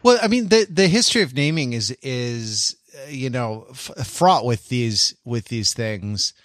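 Halting, bookish man, close dry sound. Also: medium pitch, animated, fairly bright tone, dry recording. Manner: halting, searching, bookish digressions. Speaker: podcast co-host. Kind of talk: casual intellectual discussion.

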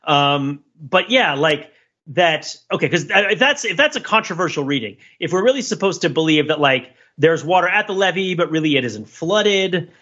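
A man's voice: fairly high pitch, expressive, normal speed, pushed and bright, highs cut off. A man hosting a casual podcast discussion, thinking aloud in a rambling, playfully analytical way. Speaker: podcast host.

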